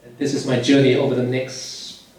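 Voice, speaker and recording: A man from Malaysia in his forties, a surgeon telling his life story to a church youth group in a relaxed, plain way with many "um"s. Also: medium pitch, moderate pace. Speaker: surgeon giving a testimony. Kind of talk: personal testimony talk to youth. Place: church hall. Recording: very echoey hall, voice from far off, faint music.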